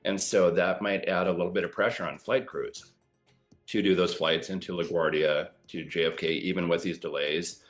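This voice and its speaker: Deep-voiced middle-aged former pilot. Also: male voice, fairly even intonation, faint music behind. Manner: measured, explains with pauses and fillers. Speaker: former pilot commenting on aviation safety. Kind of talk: explanatory news interview commentary.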